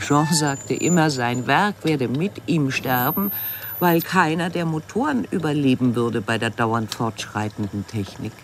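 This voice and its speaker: A man's voice: mid-range voice, animated, a little slow, a little hiss or hum. A man playing a narrator who relays an artist's memories as scripted voice-over in an audio drama. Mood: relaying.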